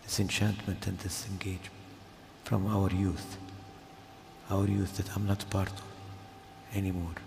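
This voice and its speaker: An older man from Jordan speaks slowly in a very deep, gravelly voice with a flat, even delivery. He gives a formal speech, pausing between phrases. The sound is echoey and comes from a large hall. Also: a little hiss or hum. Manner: slow and measured, with pauses. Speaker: guest speaker. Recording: echoey hall, heard from a distance.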